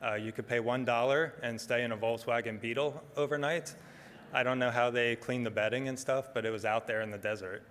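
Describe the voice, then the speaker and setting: A soft-spoken middle-aged man giving a relaxed conference talk, with frequent ums as he walks through slides. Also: medium pitch, brisk, in a large hall. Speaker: conference speaker. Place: conference hall.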